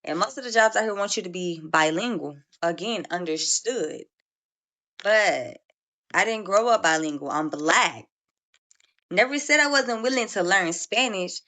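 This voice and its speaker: A woman's voice: medium pitch, expressive, a little slow, sharp tone. A woman talking casually and matter-of-factly, mildly frustrated.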